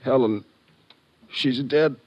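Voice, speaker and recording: An actor playing a mortally wounded crook, weak and breathy, in fifties radio drama style. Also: male voice, medium pitch, slow, dull audio, lightly acted. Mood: pained, fading. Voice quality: husky, breathy. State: weak, dying. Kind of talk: 1950s radio drama acting.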